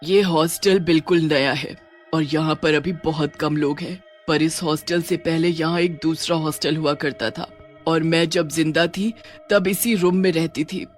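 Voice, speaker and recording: A woman acts out a possessive, menacing young ghost witch from a cartoon horror tale. She draws her words out slowly and tauntingly. Music plays underneath. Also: sits low, steady tone, voice pushed down. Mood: brooding. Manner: slow, drawn-out, taunting with gloating laughs. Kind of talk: Hindi cartoon horror story acting.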